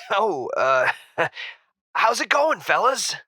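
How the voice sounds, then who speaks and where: Awkward polite celeb radio guest, modest, hesitant, bright voice, dry sound. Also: male voice, high voice, big pitch swings, extremely slow, dry recording, exaggerated voice. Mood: uneasy, polite. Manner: hesitant, polite, nervous chuckles. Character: modest, slightly uneasy male TV actor as guest. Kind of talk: acted radio phone-in guest.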